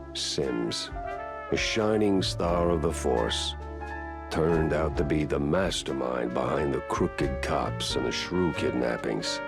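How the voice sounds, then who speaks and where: An actor playing a weary, wisecracking detective mouse in a noir game, with wry, sardonic delivery. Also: male voice, a bass voice, vocal fry, heavily acted. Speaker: protagonist and narrator.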